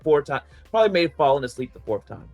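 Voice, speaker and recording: A man's voice: fairly high pitch, very animated, quick pace, a little mellow, faint music behind. A man chatting casually on a podcast panel, rambling through his opinions with lots of "like" fillers and the odd laugh. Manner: rambling, heavy use of "like" fillers; laughs between points.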